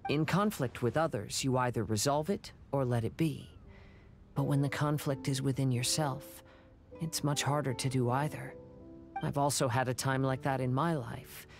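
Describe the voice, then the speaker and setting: A man playing a calm, gentle, reflective young wanderer with a measured, soft-spoken manner in a video game. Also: mid-range voice, gravelly, soft background music, lightly acted.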